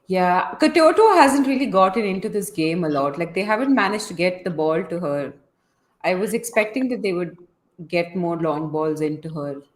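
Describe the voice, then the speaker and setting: A woman's voice: fairly low pitch, lively intonation, moderate pace, reverberant. A woman casually commentating and analysing a live football match, chatty and opinionated, feeling her way through her thoughts. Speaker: host of a football watchalong.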